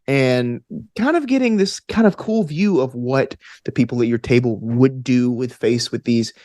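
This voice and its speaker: A man's voice: mid-range voice, expressive, normal speed, a little mellow, no room sound. Enthusiastic man chatting casually, dry close sound. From American South. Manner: enthusiastic, informal, peppered with "like" and "you know". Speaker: podcast guest, actor and gamer. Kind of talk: casual interview chat.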